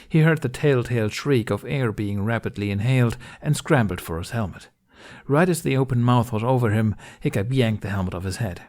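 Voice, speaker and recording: A man reading scripted fiction aloud as an audiobook narrator, in a measured storytelling manner. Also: fairly low pitch, a little mellow.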